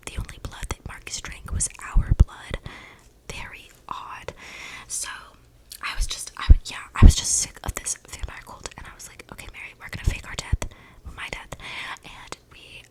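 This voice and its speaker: A woman voicing an eccentric, flippant young vampire in a breathy ASMR whisper, rambling in casual slang close to the microphone. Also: normal speed, natural voice, low-quality recording. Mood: chatty, confiding. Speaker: ASMR roleplayer. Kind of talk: whispered ASMR storytelling roleplay. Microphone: close microphone picking up breaths.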